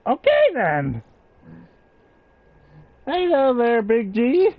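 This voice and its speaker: A woman's voice: on the high side, huge pitch swings, extremely slow, phone-quality sound. A woman game streamer giving casual live reactions as she plays.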